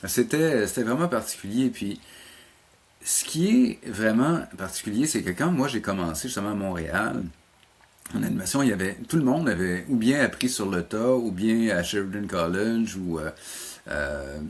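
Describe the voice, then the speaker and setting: A man's voice: fairly low pitch, lively intonation, normal speed, slightly rough. A middle-aged man with a Quebec French accent, chatting casually as an interview guest and often repeating words as he searches for his phrasing. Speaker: animation teacher and programme coordinator, interview guest.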